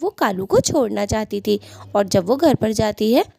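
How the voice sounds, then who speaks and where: A woman's voice: medium pitch, animated, moderate pace, gravelly, mellow, slightly rough, dry recording. A woman narrating a children's cartoon story.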